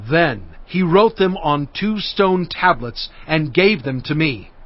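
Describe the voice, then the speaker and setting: A man's voice: a little high, lively intonation, slow pace. An actor playing an earnest prophet who addresses his people with emphatic oratory in a dramatized scripture reading. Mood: earnest exhortation. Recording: slightly dull sound, faint music behind. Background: faint music.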